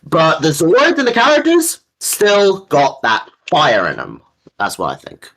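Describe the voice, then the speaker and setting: A man's voice: fairly high pitch, huge pitch swings, measured pace, fairly bright tone. A man in his early twenties co-hosting a casual chat, informal and opinionated, with plenty of slang. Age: early 20s. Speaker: co-host.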